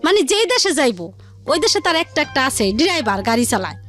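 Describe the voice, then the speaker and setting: A woman's voice: sits high, very animated, a little fast, a bit bright. A woman with a colloquial Bangladeshi Bengali accent telling her personal story as a radio interview guest, in a rambling, repetitive way. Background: music.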